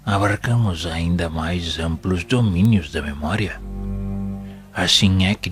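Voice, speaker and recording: Music plays under a deep, slow male voice. An actor plays a wise, serene spirit mentor in an audio drama, explaining solemn teachings in measured, formal phrases. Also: a bit bright. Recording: music playing behind the voice.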